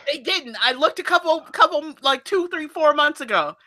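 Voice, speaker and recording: A woman with a bright, sharp-edged tone and a somewhat nasal quality, talking in a lively, animated way in casual chat. She sits at an ordinary middle pitch. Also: normal speed. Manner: animated, laughs often. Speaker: podcast co-host. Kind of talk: casual chat about audiobooks.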